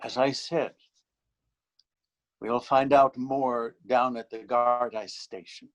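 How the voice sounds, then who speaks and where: A male stage actor as a threatening policeman with a medium-pitched voice. He speaks sternly and proudly, as an officer who will not stand being insulted. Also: fairly even intonation, takes it slowly, lightly acted. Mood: menacing. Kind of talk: readers theater stage acting.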